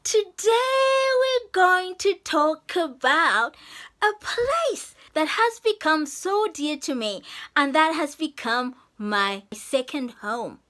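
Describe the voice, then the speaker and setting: A woman's voice: high-pitched, big pitch swings, takes it slowly, bright, forceful tone. A woman from Zambia chatting effusively to camera in a vlog, laughing often. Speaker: vlogger. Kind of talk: casual vlog talk to camera.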